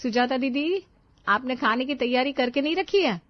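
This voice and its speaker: A woman voicing a cheerful, chatty young newlywed daughter-in-law in a storybook tale, excitable and girlish. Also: high-pitched, moderate pace, dry recording, dull audio, voice pushed up. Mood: helpful, bright.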